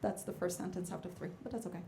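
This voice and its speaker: A woman's voice: on the low side, rapid-fire, gravelly, over music. A woman from Israel whose first language is Hebrew, a guest jazz vocalist introducing a song from a club stage in a hesitant, chatty way with many fillers. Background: band instruments faintly.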